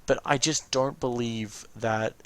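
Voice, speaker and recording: A man's voice: medium pitch, steady tone, takes it slowly. A man giving casual reaction commentary over game cutscenes, explaining and musing as he goes. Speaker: let's watch host. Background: game music behind the voice.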